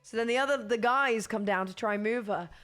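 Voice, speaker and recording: A young woman with a British accent chatting casually to her stream viewers while hoarse from losing her voice, rambling and laughing often. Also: a little high, fairly quick, fairly bright tone, faint music behind.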